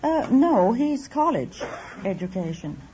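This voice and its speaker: A woman's voice: on the high side, sing-song, a little slow. A middle-aged woman from France answering a host's questions as a quiz show contestant. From born in France. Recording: muffled old radio recording, faint noise.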